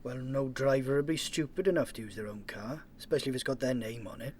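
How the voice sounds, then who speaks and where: An actor playing a dry, sardonic police sergeant in a comedy radio play, recorded with some faint background noise. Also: male voice, medium pitch, fast, a little mellow, natural voice.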